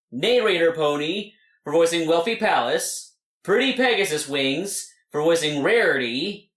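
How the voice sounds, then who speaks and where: A man's voice: fairly high pitch, fairly bright tone. A man hosting a channel, giving a video sign-off with credits. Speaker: channel host. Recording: roomy, echoing sound.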